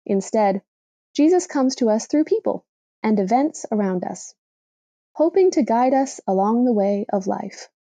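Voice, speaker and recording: A woman with a fairly high, mellow voice reads a reflective blog essay aloud. Her delivery is measured and deliberate, clearly working from a script. The sound is dry and close, with very little room in it. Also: expressive, no echo. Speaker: reader of a blog post for a podcast.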